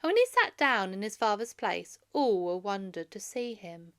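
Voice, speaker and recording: Female storybook reader, bright voice, lively pitch movement, expressive read-aloud for children. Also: moderate pace.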